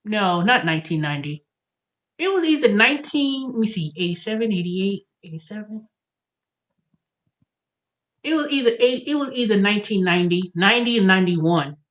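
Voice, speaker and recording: A woman's voice: mid-range voice, fairly bright tone. American woman in her fifties, dull lo-fi sound. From United States. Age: early 50s. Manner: thinks aloud, repeats and corrects herself. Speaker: livestream host. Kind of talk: casual rambling livestream chat.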